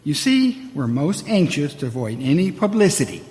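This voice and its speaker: An actor playing a fussy, anxious, cowardly store employee with a stammering, obsequious manner in an old-time radio play. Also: male voice, fairly high pitch, very animated, normal speed, a little mellow. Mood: anxious, ingratiating. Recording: roomy stage hall with long reverb.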